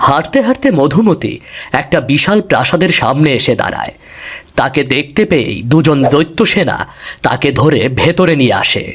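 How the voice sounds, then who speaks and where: Husky male fairy-tale narrator. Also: on the high side, animated, moderate pace, a bit bright.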